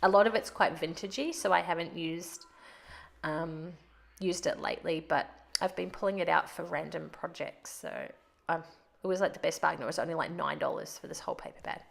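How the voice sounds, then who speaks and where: A chatty woman narrates a craft project in a loose, rambling voice-over. Her voice sits a little low with a gravelly fry, and she sounds stuffed up from a cold. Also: a little fast. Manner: chatty and rambling, laughs often. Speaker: craft video host.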